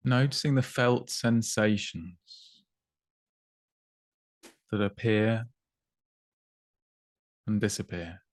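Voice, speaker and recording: A man guiding a body scan meditation in an unhurried, gently instructive way, with long pauses between short phrases. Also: on the low side, no echo. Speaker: meditation guide.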